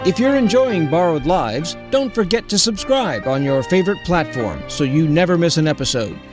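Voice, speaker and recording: A man announces scripted credits for a podcast. His voice is a little high and very lively in pitch. Faint music runs behind him. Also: moderate pace.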